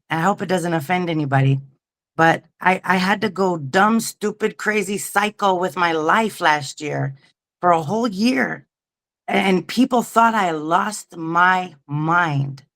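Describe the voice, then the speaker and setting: A woman, around her forties, telling her own story slowly and candidly, with halting fillers. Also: on the low side, creaky, a bit bright. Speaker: interview guest. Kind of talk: personal story in an interview.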